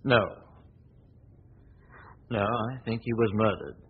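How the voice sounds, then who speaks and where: Male, suave radio detective, polite but easily exasperated, husky tone, muffled 1940s sound. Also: on the low side, takes it slowly, full character voice. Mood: measured, probing. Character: suave, self-assured gentleman detective. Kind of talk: 1940s radio drama acting. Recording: husky, dull old radio recording.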